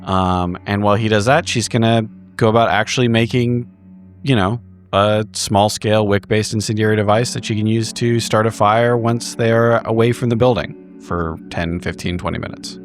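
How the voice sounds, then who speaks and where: A man's voice: lowish voice, steady tone, normal speed. A man casually improvising his character's actions as a player in a tabletop roleplaying game, swearing now and then. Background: background music.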